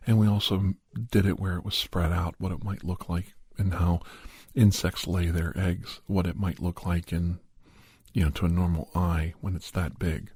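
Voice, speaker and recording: Very low, breathy male ASMR storyteller. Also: no room sound, clean studio sound. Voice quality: soft, breathy, often dropping into whisper. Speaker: podcast host narrating a story in character as a doctor. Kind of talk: ASMR storytelling, first-person fiction.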